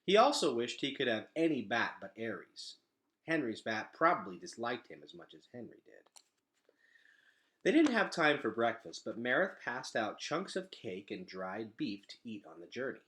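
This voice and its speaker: A man reading a children's novel aloud as an expressive storyteller. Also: medium pitch, normal speed.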